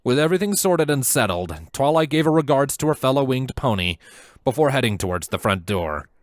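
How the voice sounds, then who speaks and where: A man narrating a scripted story in a measured, dramatic storytelling manner. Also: medium pitch, sing-song, no room sound. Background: music.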